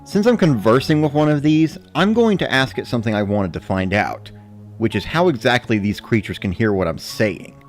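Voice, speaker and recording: A man giving a measured, matter-of-fact first-person narration of a scripted story. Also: mid-range voice, lively intonation, faint music behind. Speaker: narrator of a dramatic reading.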